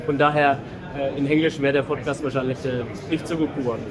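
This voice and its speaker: A man hosting a podcast who talks in a friendly, relaxed way, with fillers. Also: medium pitch, fast talker. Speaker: podcast host. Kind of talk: casual interview chat. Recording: crowd noise behind.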